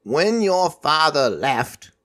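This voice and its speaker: Man voicing a slow, croaking ancient raven god. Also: fairly high pitch, very animated, a bit bright, pitched above the performer's own voice, heavily acted. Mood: solemn, explaining. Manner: slow, solemn, formal delivery. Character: ancient stern god of prophecy as giant raven. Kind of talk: audio fiction character voice.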